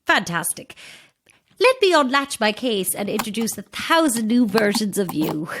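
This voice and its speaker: A woman's voice: medium pitch, big pitch swings, moderate pace, noisy background. A woman playing a nervous, polite make-up saleswoman in an audio drama, with flustered manners and flowery patter. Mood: grand sales patter. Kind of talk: audio horror drama acting.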